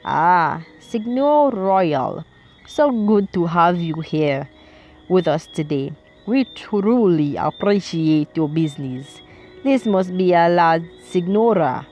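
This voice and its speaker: A woman's voice: on the low side, animated, slow, a little mellow, slight background noise. Woman doing an effusive Italian restaurateur. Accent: put-on Italian. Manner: effusive, teasing. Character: jovial middle-aged Italian male restaurateur.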